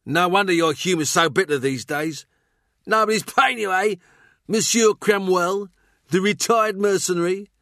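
A man voicing a scrawny, bitter court fool with a shrill London voice, mocking and taunting, in an audiobook. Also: moderate pace, pushed and bright, dry recording, clean studio sound. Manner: mocking, jeering.

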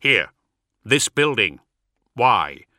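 A man's voice: medium pitch, very animated, very slow, sharp tone, no echo, studio quality, exaggerated voice. A man voicing an impatient, angry armoured warrior in an audiobook, blunt and demanding.

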